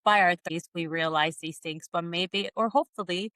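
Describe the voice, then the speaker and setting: A woman in her thirties hosts a casual coaching conversation. Her voice is fairly low and very smooth and clear, recorded with a dry, close sound. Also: moderate pace, a bit bright, no echo. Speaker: podcast host and coach.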